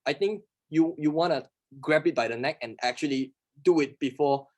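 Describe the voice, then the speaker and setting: A man who is not a native English speaker answers workshop questions, talking fast and emphatically, often asking and answering his own rhetorical questions. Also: a little high, darkish tone. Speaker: workshop instructor.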